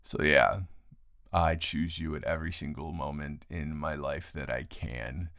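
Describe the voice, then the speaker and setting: Male, very low-pitched with heavy fry, improvised boyfriend roleplay as a teasing, affectionate roommate; casual, halting delivery. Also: fairly even intonation, a bit bright, dry recording, clean studio sound. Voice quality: low, gravelly. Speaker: audio roleplay performer.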